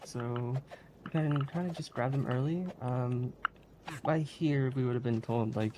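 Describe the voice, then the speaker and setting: A speedrunner giving casual live commentary in a halting, hesitant way, sometimes giggly. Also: mid-range voice. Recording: clearly noisy stream audio.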